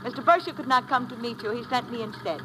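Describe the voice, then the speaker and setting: Brisk, self-possessed female driver, played by an actress, high fast voice, noisy vintage radio drama. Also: close to an everyday voice. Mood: brisk, teasing. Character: brisk, self-assured young woman, mountain road-project driver. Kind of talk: 1950s radio acting.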